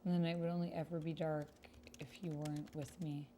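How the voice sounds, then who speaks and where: A woman's voice: deep voice, flat delivery, brisk, warm tone. A woman streamer chatting casually and reading out her typed roleplay in a gushing, sentimental manner. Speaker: streamer playing a text-based roleplaying game. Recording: clear background noise, keyboard typing. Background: computer keyboard typing.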